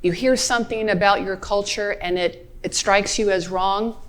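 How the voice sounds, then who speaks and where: A woman speaking on a panel at a mid-range pitch. She gives her advice plainly and directly, in an encouraging way. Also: fairly even intonation, normal speed.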